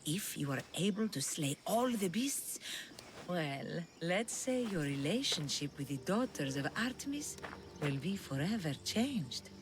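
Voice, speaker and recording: Low, swooping, imperious huntress. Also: female voice, very animated, noisy background. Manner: teasing, measured, imperious. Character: sly, commanding huntress devoted to a Greek goddess. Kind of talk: video game cutscene acting.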